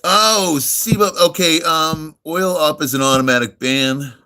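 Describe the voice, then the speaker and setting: A man's voice: a little high, a little slow, bright, forceful tone. Middle-aged American guy from near New York, radio-style voice, easygoing live streamer who rambles through chat replies. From United States, near New York. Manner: laid-back, friendly, rambling with many ums and uhs. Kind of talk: casual live-stream chat, reading and answering viewers.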